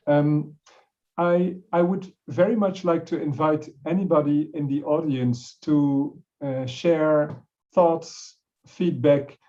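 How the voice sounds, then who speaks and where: A male moderator politely invites the audience and panelists to respond, circling his questions with fillers. His voice is mellow and dark, and the recording is dull and muffled. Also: on the high side. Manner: polite, inviting, loosely phrased.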